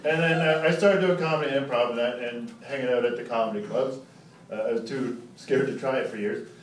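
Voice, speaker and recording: A man's voice: medium pitch, fairly quick. A man doing live stand-up storytelling, teasing and sarcastic as he rambles through casual banter. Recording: roomy, distant, very noisy live club recording.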